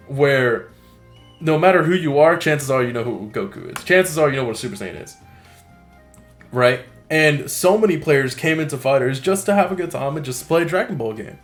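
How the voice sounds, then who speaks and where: Chatty man with faint background music. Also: medium pitch. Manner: chatty, filler-heavy, upbeat. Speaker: podcast co-host. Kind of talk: casual chat.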